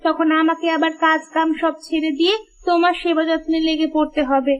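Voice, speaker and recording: Lady doing a super high voiced village housewife, cartoon style, caring but fussy and a little naggy. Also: flat delivery, a little fast, muffled recording. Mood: fussing. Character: caring, practical village housewife, mildly nagging. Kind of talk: cartoon story dialogue.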